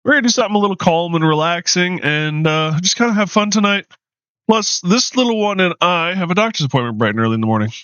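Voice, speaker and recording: A tired man streaming a game, chatting casually in a low-key, rambling way, his voice slightly hoarse. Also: animated, quick pace, fairly bright tone, dry recording, muffled recording. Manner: low-key, rambling with frequent ums and uhs. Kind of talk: casual live-stream chat.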